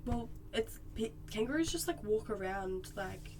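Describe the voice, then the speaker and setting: Laid-back young Australian woman streamer, unhurried rambling chat, steady noise behind her. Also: mid-range voice, rough recording. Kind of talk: casual chat with live viewers while drawing.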